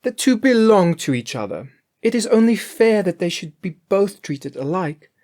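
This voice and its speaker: A woman's voice: mid-range voice, big pitch swings, moderate pace, darkish tone, pitched above the performer's own voice, close to an everyday voice. A storyteller voicing a cheeky, greedy female cook from a storybook, merry, emphatic and full of excuses for herself. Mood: smug. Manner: emphatic, exclaiming. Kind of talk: storybook character voice.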